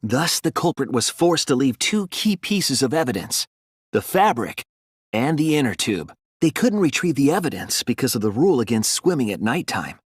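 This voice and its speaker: A man voicing an earnest, determined young student detective in a dramatic game dub, deliberate and analytical with a slightly husky voice. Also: medium pitch, sing-song, dry recording, studio quality. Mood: grave, explanatory. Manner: deliberate, earnest, explanatory delivery with dramatic emphasis. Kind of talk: dramatic English game dub, deductive monologue.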